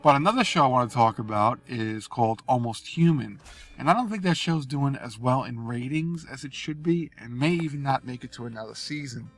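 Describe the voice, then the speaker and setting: Congested man with a gravelly voice. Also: medium pitch, lively intonation, fairly quick, a bit bright, faint music behind. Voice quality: nasal. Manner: rambling, apologetic, unprepared. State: congested from a cold. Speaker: gaming video host. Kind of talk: casual rambling commentary over gameplay. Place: in a car while driving.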